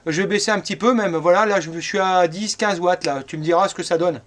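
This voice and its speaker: A man's voice: high-pitched, quick pace, fairly bright tone. A middle-aged man from France chatting casually as an amateur radio operator.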